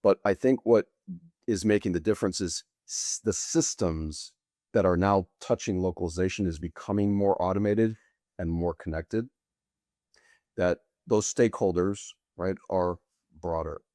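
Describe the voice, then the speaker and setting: A middle-aged man, bilingual with Portuguese, answering interview questions as a guest in long, deliberate, winding sentences. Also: deep voice, mellow, dry recording, clean studio sound. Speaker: guest, sales executive.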